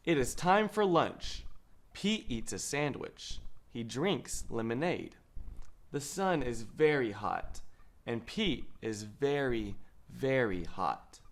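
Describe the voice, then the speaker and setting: Young adult male storyteller for picture books, unhurried delivery, animated pitch. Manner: measured, expressive storytelling with lifted quoted lines. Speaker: read-aloud host. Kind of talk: children's picture book read-aloud. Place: indoor studio.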